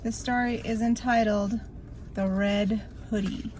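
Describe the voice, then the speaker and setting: An older woman reading a spooky story aloud in an expressive, theatrical storytelling manner. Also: mid-range voice, unhurried, slight background noise, poor audio.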